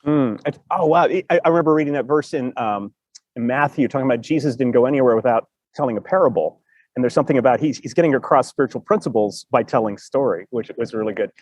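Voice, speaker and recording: A man's voice: mid-range voice, quick pace, darkish tone, no room sound, highs cut off. A man hosting a casual interview, effusive and often stammering through repeated false starts. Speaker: podcast host.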